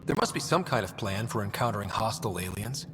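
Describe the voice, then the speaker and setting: An actor playing an earnest, inquisitive young space explorer in a video game, with reverb and noise around him. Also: male voice, fairly low pitch, lively intonation, fast talker, close to an everyday voice. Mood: probing. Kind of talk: video game dialogue acting.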